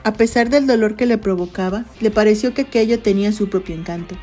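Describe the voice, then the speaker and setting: A woman's voice: medium pitch, quick pace, dark tone. A woman reading a scripted literary narration for an audio drama. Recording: music bed under voice. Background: background music.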